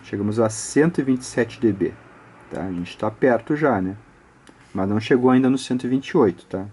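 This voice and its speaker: A man's voice: on the low side, a little fast, warm tone, husky. A man with a Brazilian Portuguese accent giving an informal step-by-step technical tutorial, conversational and explanatory, often ending phrases with small tag questions. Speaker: audio electronics instructor, channel host.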